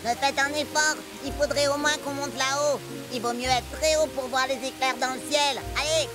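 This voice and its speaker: A woman's voice: sits high, fairly even intonation, moderate pace, music in the background, lightly acted. A woman playing a plucky, impatient little boy adventurer in a French children's cartoon. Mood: impatient, urging.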